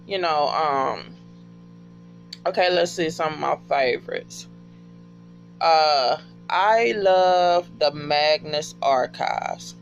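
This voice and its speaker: High-pitched, gravelly American man, casually rambling. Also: slow pace. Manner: slangy, profane, excitable storytelling. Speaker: podcast fan reviewing favourite shows. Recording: dull lo-fi audio with music behind.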